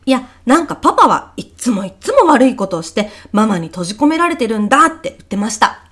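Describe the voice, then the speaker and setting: A woman's voice: on the high side, quick pace. A woman playing a chirpy, polite but gossipy kindergarten teacher in a comedic radio drama. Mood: gleefully gossipy. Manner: polite, effusive, animated. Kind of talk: comedic short radio drama, phone meeting.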